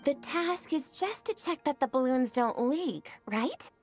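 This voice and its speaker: A carefree young troublemaker in a game. Her voice is fairly high and swooping, unhurried, coaxing and mischievous. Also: expressive, a little mellow, soft background music. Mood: cheeky, coaxing. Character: cheeky young female troublemaker, carefree and persuasive. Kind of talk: scripted video game dialogue.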